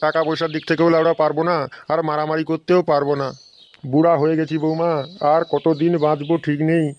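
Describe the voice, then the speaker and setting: A man's voice: a little high, little pitch movement, fast, muffled recording, lightly acted. A man voicing an anxious old father-in-law in a comedy cartoon, pleading and hesitant, often repeating himself. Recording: noisy track with chirping birds.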